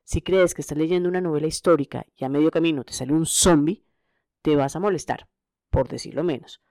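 Colombian woman podcaster, low-pitched, close dry sound. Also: fairly quick, mellow, dry recording. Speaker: podcast host. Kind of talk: scripted solo podcast narration.

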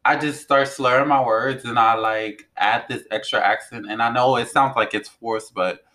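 A young man from New Orleans co-hosting a podcast, talking in a loud, animated, profane way. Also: medium pitch, moderate pace. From New Orleans, Louisiana. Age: young adult. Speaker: podcast co-host. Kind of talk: casual chat.

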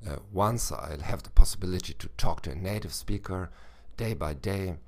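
Hoarse deep-voiced man with gravelly fry. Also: darkish tone. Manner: halting, with many "uh" fillers and restarts. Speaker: podcast host and language teacher. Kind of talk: solo educational podcast talk.

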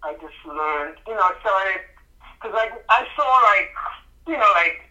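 Male comedian guest, middle-aged, raised in the Bahamas; rambling, bright-toned, muffled call audio. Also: high-pitched, animated, measured pace. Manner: rambling, many restarts and fillers. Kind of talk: casual interview.

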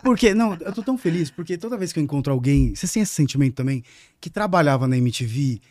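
A man's voice: on the high side, animated, a little mellow, no room sound. A man in his thirties with a Brazilian accent, hosting a casual podcast chat in a playful, teasing way. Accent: Brazilian Portuguese. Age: 30s. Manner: playful, teasing, quick-talking. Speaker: podcast host.

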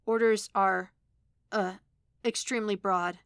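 A woman's voice: a little high, steady tone, no room sound, studio quality, voice pushed up. Woman as a choked, pleading young man. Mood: anxious, apologetic. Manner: halting, choked, pleading delivery.

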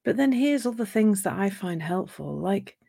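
A woman speaking as a coach in a live talk on relationships, gentle and reflective, her voice a little croaky from a sore throat. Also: medium pitch, animated, moderate pace.

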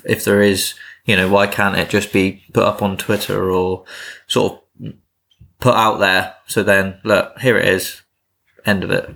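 A man's voice: low voice, gravelly, a bit bright. A man talking as a guest in a casual chat, easygoing.

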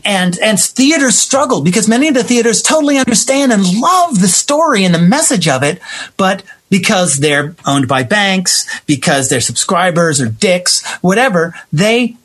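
A man's voice: high-pitched, big pitch swings, moderate pace, a bit bright, husky. A man speaking as a guest playwright and actor in a conversational interview, emphatic and given to acting out remembered exchanges.

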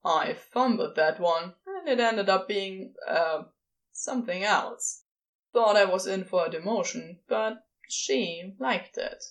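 A woman's voice: medium pitch, slow pace, lightly acted. A woman voicing a sardonic, casual male celestial being with offhand, clipped phrasing in a fan fiction audiobook.

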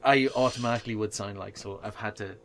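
A man's voice: on the low side, fast, crowd noise. A young man with an Irish accent from Monaghan, chatting and joking as a podcast co-host. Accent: Irish, from County Monaghan. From Ireland. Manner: joking, lively storyteller. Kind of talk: casual chat.

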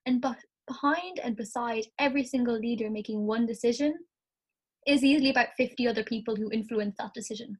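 A young Irish woman, a student, talking through ideas in a casual class discussion, her voice a little croaky from the morning. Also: fairly high pitch, fairly quick. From Ireland. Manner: chatty, thinks aloud, frequent "like".

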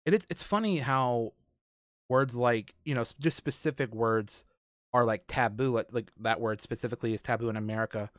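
A man hosts a casual podcast in an informal, wordy way. His voice sits at an average male pitch. The recording is dry, with very little room around it. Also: a little fast, dry recording. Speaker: podcast host.

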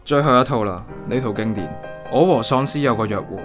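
A man's voice: medium pitch, a little fast, music in the background, close to an everyday voice. A man with a Hong Kong accent playing a teasing older brother in a comedic radio drama, talking with mock seriousness.

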